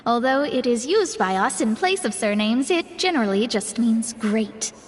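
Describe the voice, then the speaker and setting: Game astrologer girl, acted by a woman: proud, self-assured, high and animated, music underneath. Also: moderate pace, close to an everyday voice. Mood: proud, explaining. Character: proud young female astrologer, chatty and matter-of-fact. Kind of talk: video game voice acting.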